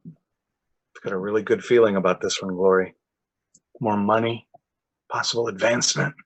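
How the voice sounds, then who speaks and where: A man playing a doting, harried father in a play performed as a video call, hurried but indulgent and gently scolding. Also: on the low side, steady tone, natural voice. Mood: placating.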